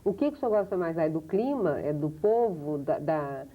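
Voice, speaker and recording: A woman's voice: low-pitched, lively intonation. A woman from Minas Gerais with a Minas accent, hosting a TV interview and asking chatty follow-up questions. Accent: Brazilian Portuguese, Minas Gerais. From Minas Gerais, Brazil. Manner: talkative, quick follow-up questions. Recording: thin phone-like TV audio.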